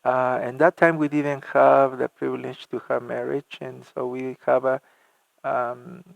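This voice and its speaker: A middle-aged man from Argentina, a church music minister telling his life story in an interview, halting with many fillers and restarts. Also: mid-range voice, creaky, no echo, rough recording.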